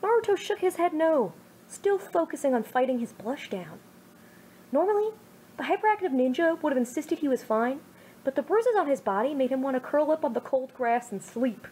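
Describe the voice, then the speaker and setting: Brief: woman in her twenties, higher voice, hamming up a reading of her teenage fan fiction, faint noise behind. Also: big pitch swings, moderate pace, warm tone, rough recording. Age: 20s. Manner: self-mocking, jokey, over-the-top. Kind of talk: casual chat then dramatic story reading.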